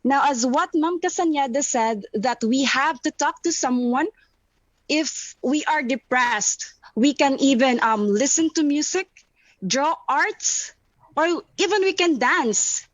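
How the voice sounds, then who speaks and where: A woman's voice: sits high, measured pace, dry recording. A woman with a Filipino accent, a teacher giving prepared feedback in an online seminar over a call. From Philippines. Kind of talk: prepared spoken testimonial. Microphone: online video call, camera off. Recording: muffled lo-fi online call audio.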